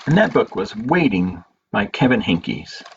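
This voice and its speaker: A man hosting a storytime as a librarian, reading picture books aloud in measured phrases with pauses and informal asides. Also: fairly low pitch, sing-song. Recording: dull lo-fi audio with clear background noise.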